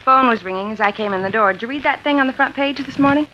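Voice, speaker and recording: An eager, chatty secretary rattles off the news, played by an actress in a vintage radio drama. Her voice is fairly high, quick and bright. The old recording sounds dull. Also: a little hiss or hum, natural voice. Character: chatty, efficient female office secretary.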